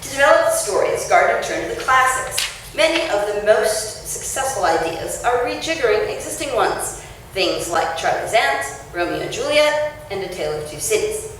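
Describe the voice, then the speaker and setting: A woman author giving an expressive, emphatic public reading of a written essay at an event, with clear phrasing. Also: a little high, normal speed. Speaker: author reading her essay at a book launch. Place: indoor launch-party event space. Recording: roomy echoing event space, distant mic, faint noise.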